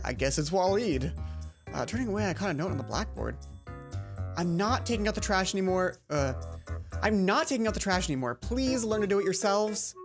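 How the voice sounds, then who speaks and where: A woman reading a visual novel game aloud, tripping over the text and breaking off into casual, exasperated commentary. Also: on the low side. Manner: stumbles over read text, exasperated asides. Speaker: let's play host.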